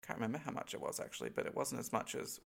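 A young Australian man talks loosely to camera, his nose blocked up and stuffy. His voice carries a gravelly fry at the ends of phrases, and he rambles through ums and likes as he goes. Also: mid-range voice, huge pitch swings, rapid-fire, fairly bright tone. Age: young adult. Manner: rambling, many ums and likes, laughs between points. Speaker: vlogger. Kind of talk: casual to-camera chat.

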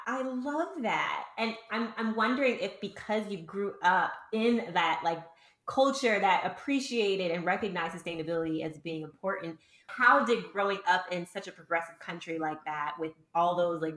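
A woman's voice: on the low side, fairly bright tone. Enthusiastic woman interviewer in an echoey room. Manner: enthusiastic, chatty, frequent "like". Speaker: podcast host, interviewer. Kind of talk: casual conversational interview.

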